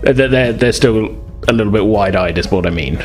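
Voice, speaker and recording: A man's voice: on the low side, a little fast, a little mellow, soft background music. A man acting as game master, casually improvising narration in informal, hedging, stop-start phrases.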